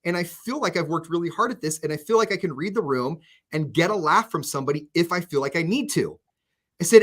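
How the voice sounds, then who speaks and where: A man radio host in casual chat with his co-host, animated, often restarting his sentences. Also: sits high.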